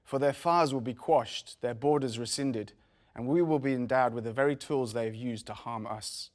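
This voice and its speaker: A man's voice: medium pitch, moderate pace, darkish tone, lightly acted. Man acting a zealous, solemn fantasy visionary. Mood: solemn, urgent. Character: zealous, troubled male visionary addressing his troops. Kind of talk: table-read acting of fantasy drama.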